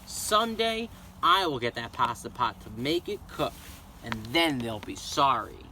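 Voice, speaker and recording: A man voicing an eager, boastful, simple young villager in a storybook, given to chanting and shouting. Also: medium pitch, measured pace, a little hiss or hum, voice pushed down. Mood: resentful, boastful. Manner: excitable, sing-song chanting and shouting.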